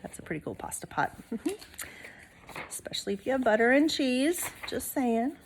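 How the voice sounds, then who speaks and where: A woman's voice: high-pitched, big pitch swings, unhurried, slight background noise, rough recording. A woman reading a storybook aloud expressively to young listeners, with casual asides like a teacher.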